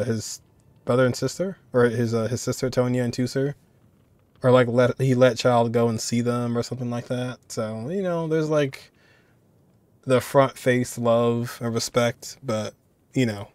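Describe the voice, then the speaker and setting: A man giving casual, halting commentary as a streamer, often trailing off as he muses. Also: medium pitch, fairly even intonation, darkish tone, dry recording. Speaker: streamer reacting to game voice lines.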